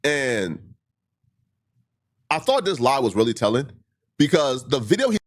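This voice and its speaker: A man's voice: medium pitch, big pitch swings, measured pace. A man who stutters, a livestreamer giving a heated monologue to his critics, full of repeated points and rhetorical questions.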